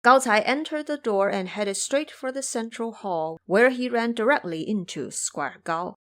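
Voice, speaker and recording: A woman's voice: a little high, unhurried, fairly bright tone. A woman narrator delivering scripted storytelling for an audio drama.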